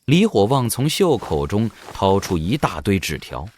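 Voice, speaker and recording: Deep-voiced, very animated male storyteller. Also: a little slow. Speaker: narrator. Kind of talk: dramatic scripted narration.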